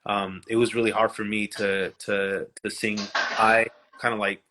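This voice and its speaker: Shy, halting man with a low voice. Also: level tone, background noise. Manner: shy, halting, many restarts. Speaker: musician, livestream guest. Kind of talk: casual livestream chat. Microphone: video call.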